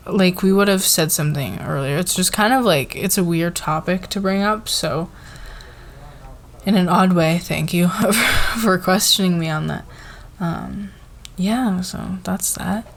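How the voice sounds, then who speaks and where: A woman playing a flustered, fair-minded company boss in a casual roleplay ASMR, with a halting, informal, giggly manner. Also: on the low side, creaky, heavily acted. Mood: awkward, friendly.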